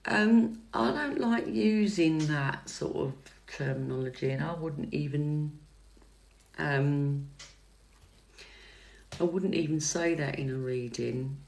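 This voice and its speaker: A middle-aged woman, a psychic tarot reader chatting on a livestream and answering comments in a soft, calming voice, hesitant with many fillers and restarts. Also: deep voice, takes it slowly, creaky, low-quality recording. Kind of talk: casual livestream chat answering viewer comments. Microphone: phone livestream mic.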